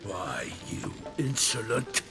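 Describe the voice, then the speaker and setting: Swooping, pompous fantasy knight. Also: male voice, fairly low pitch, big pitch swings, takes it slowly, over music, heavily acted. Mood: indignant. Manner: theatrical, archaic, exasperated turns of phrase. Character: pompous, impatient, excitable male knight. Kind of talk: fantasy video game dialogue.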